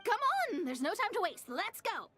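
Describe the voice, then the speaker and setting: A woman voicing a proud, thrifty young astrologer mage in a video game, brisk, theatrical and self-assured. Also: very high-pitched, sing-song, very noisy. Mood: eager.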